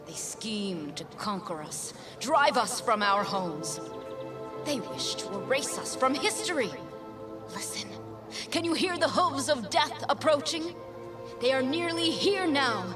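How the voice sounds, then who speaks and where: A woman's voice: high-pitched, expressive, a little slow, over music. A woman playing an imperious queen in a video game, rallying her kingdom for war with grandiose, commanding oratory. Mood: grave, stirring, then dramatic, proud.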